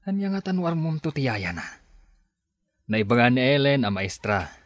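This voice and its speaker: A man's voice: mid-range voice, very animated, normal speed, no room sound, studio quality, natural voice. A man playing a friend who gives advice in a radio drama, speaking in a matter-of-fact way. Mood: advising. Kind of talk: Ilocano radio drama acting.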